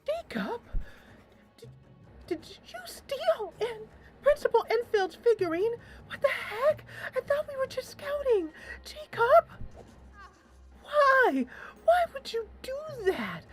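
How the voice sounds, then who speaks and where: A woman voicing a sensible but anxious teenage schoolgirl in a visual novel game, wry, questioning and expressive. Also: very high-pitched, takes it slowly, soft background music, voice pushed up, heavily acted. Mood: alarmed, upset.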